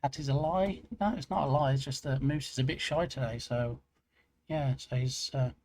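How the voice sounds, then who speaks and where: A man's voice: mid-range voice, normal speed, a little mellow, a little hiss or hum. A man streaming live, chatting casually and joking at his own expense. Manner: chatty, self-mocking, rambling. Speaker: game dev streamer.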